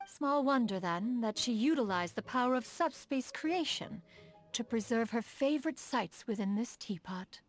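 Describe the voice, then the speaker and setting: Woman acting regal immortal inventor, female, highish pitch, very animated intonation, formal old-fashioned diction, game dialogue, music underneath. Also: normal speed, lightly acted. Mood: gentle, reflective. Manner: formal, archaic, lofty diction, speaks of herself as "one". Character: proud, refined immortal adeptus woman, inventor of mechanical contraptions. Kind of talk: scripted video game character dialogue.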